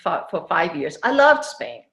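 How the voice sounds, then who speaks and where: A woman from Denmark who grew up in Venezuela, speaking English with an accent as an interview guest, animated and laughing often. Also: lowish voice, a little slow, roomy sound. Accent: foreign accent. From Denmark, raised in Venezuela. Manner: animated storytelling, laughs often, many restarts. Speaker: entrepreneur guest. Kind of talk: casual interview chat.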